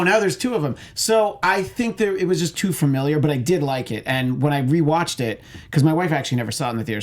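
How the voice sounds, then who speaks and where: A man talking casually as a panelist on a film chat show, with an animated manner. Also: on the high side.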